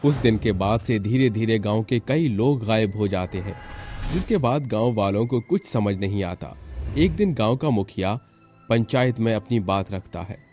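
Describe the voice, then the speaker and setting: A man's voice: fairly low pitch, lively intonation, moderate pace, darkish tone. A man narrating a scripted horror story as a storyteller. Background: faint background music.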